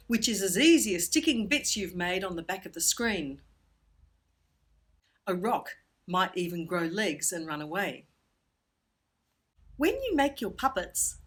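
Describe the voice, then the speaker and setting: A woman giving an instructional presentation for children in a playful, expressive manner with emphatic pauses. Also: mid-range voice, slightly rough. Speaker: puppetry workshop instructor.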